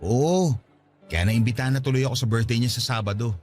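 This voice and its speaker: A man's voice: medium pitch, a little fast, darkish tone, close to an everyday voice. A man in a radio drama playing an upbeat boyfriend in his mid-thirties, breezy and a bit defensive. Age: 30s.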